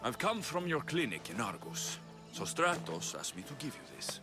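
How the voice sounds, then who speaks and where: An actor playing a determined young Spartan mercenary in a video game, speaking directly and earnestly. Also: male voice, mid-range voice, big pitch swings, normal speed, music in the background. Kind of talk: cinematic video game acting.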